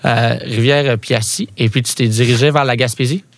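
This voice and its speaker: A man with a Quebec accent hosts a casual chat in a mid-pitched voice. He is easygoing and supportive, and the sound is very dry, with no room echo. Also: fairly even intonation, normal speed. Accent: Quebec French. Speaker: host.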